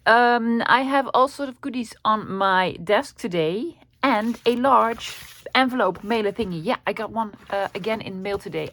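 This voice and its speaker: A woman's voice: mid-range voice, no echo. Chatty Dutch-accented woman, dry close sound. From Netherlands. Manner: chatty and rambling, with many ums and asides. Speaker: crafting channel host. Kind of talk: casual craft tutorial chat. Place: home craft desk.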